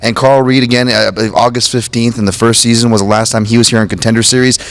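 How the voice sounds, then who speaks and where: A man's voice: mid-range voice, level tone, fast, no room sound. A man giving fight analysis on a panel, conversational and hedging as he breaks down the matchup.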